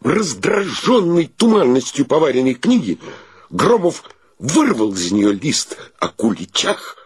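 A man's voice: medium pitch, sing-song, unhurried, breathy edge, no echo, clean studio sound. A male actor playing an easygoing, wry bachelor who also narrates a radio play in a leisurely, theatrical way. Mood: wry narration.

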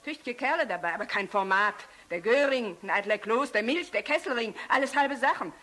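High-voiced, brazen young fanatic woman. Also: expressive, fairly quick, a bit bright. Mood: urgent, goading. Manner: cheeky, provocative, haughty. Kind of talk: German radio play acting.

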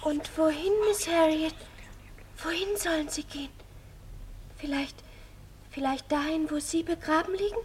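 Child medium in vintage German radio drama, tiny very high voice, halting trance delivery, slight background noise. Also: female voice. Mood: entranced, solemn. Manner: hushed, halting, trance-like questioning and repeating. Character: young girl medium, Betty, relaying a ghost's messages. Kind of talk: 1950s German radio play acting. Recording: faint noise, 1950s radio studio recording.

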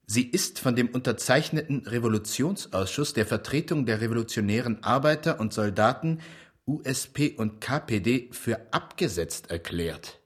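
A skeptical senior official in a radio play. His medium voice is husky. He speaks formally, in a dry, measured manner. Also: mid-range voice. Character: formal, skeptical male government undersecretary. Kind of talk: German radio drama acting.

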